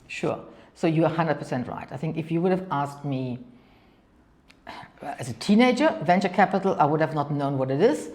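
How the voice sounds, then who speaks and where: German-accented woman with a lowish voice. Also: animated, normal speed, darkish tone. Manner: talks in long anecdotes, often says "kind of go and", repeats words. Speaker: venture capital partner, guest. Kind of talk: interview storytelling.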